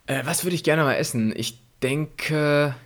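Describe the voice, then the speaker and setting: A man's voice: a little high, measured pace, a bit bright. Stammering young man in casual chat. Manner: stammers and repeats words, laughs while talking. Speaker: podcast co-host.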